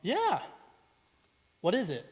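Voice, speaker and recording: A man's voice: high voice, huge pitch swings, slow pace, darkish tone, breathy edge, full character voice. A man playing a dopey, lovestruck young delivery boy in an old-time radio comedy, live on stage. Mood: dopey, eager. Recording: echoey hall, live stage performance.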